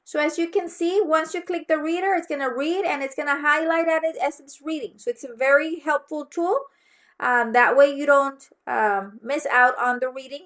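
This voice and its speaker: High-pitched woman instructor, Mexican accent. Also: fairly bright tone. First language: Spanish. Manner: instructive, frequently checks understanding with "okay?". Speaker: college instructor. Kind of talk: online course orientation walkthrough.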